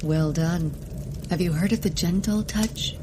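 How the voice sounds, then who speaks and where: An actress playing a stern, easily annoyed scientist in a comedic audio drama, dry, skeptical and sardonic. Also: female voice, on the low side, measured pace, background noise, lightly acted. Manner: deadpan, sardonic.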